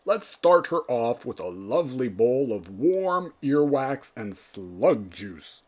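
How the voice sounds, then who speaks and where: An earnest beast father, voiced by a man muses helpfully in a storybook. The words come very slowly and stretched out, with swooping, animated pitch. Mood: musing, helpful. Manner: slow, drawn-out, musing.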